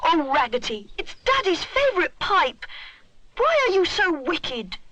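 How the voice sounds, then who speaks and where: A woman's voice: very high voice, very animated, unhurried, bright, forceful tone, voice pushed up, heavily acted. A woman voicing a kindly, earnest young boy bear in a children's story, with an anxious, exclaiming manner. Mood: dismayed.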